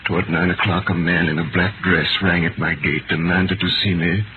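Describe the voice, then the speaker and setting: An actor playing an imprisoned French doctor in a 1930s radio drama, delivering a grave, deliberate, bitter testament. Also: male voice, deep voice, flat delivery, lightly acted. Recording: scratchy, tinny 1938 radio broadcast, loud noise.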